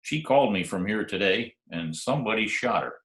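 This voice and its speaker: An actor playing a wisecracking, sardonic private detective who also narrates, in a 1940s-style radio drama. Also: male voice, fairly low pitch, animated, a little fast, a bit bright. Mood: insistent. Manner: dry, sardonic. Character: wisecracking hard-boiled private detective, narrating his case. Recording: roomy remote home recording, faint music.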